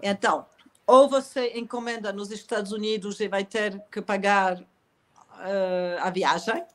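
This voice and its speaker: A woman author, a lingering cough after an illness, talking calmly about poetry and reading gently from her novel. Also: mid-range voice, a little slow. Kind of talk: conversational interview, then reading aloud from her novel.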